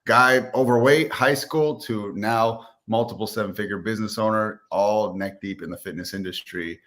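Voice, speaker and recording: A man with a low voice talks as an interview guest. He is upbeat and confident, telling personal stories in loose, colloquial language. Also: normal speed, a bit bright. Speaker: podcast guest, fitness entrepreneur. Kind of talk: conversational personal storytelling.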